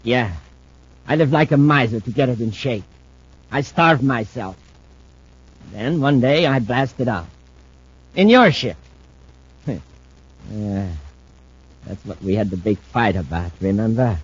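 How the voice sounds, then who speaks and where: An actor playing a weakened, obsessed spacer in a 1950s radio drama, brooding and intense. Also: male voice, mid-range voice, lively intonation, slow pace, long pauses, slightly rough. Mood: confiding, urgent. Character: thin, weakened, obsessed male spacer seeking his old partner. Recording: muffled old-time radio recording.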